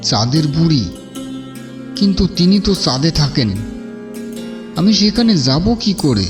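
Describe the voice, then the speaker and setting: A man's voice: fairly high pitch, sing-song, measured pace, far from the mic, over music. A man voicing a humble, devoted son in a fairy-tale cartoon, earnest and pleading. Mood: pleading, awed.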